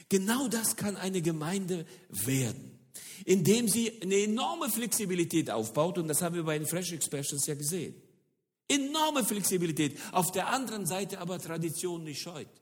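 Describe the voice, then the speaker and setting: Middle-aged guy from the former soviet union preaching, voice swings up and down a lot, lots of uhs, big echoey hall. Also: on the high side. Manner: animated, repeats words for emphasis, frequent hesitations. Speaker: speaker on church mission. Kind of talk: lively lecture-sermon. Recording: long hall reverb.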